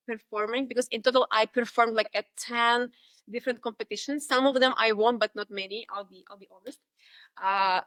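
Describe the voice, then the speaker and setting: Russian-accented woman, higher voice, dry sound. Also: fairly bright tone, dry recording. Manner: reflective, frequent fillers and restarts. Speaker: guest, dance instructor. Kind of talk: podcast interview.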